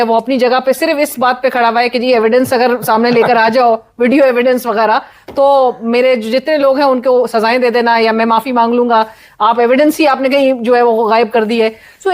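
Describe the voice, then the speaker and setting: A woman, a guest analyst on a political talk show, explaining in a measured way with many hesitant fillers. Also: a little high.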